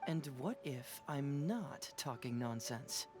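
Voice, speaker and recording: An actor playing a cocky young male detective in a video game, questioning with smooth, teasing confidence. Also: mid-range voice, animated, slow, music in the background. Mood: probing.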